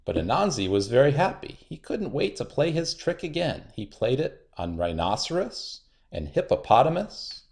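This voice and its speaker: A man reads a children's story aloud in a mid-pitched voice. His delivery is highly animated and expressive, leaning on key words for dramatic effect. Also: moderate pace, few pauses. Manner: expressive, dramatic repetition and emphasis. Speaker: storybook narrator. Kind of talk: children's read-aloud narration.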